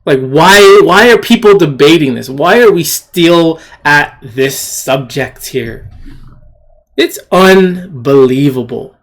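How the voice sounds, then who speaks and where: An indignant commentator delivering an opinionated rant at a slow, deliberate pace. The pitch swings widely for emphasis, with phrases repeated and rhetorical questions thrown out one after another. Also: animated. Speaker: video commentator. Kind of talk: opinionated rant commentary on shared screen clips.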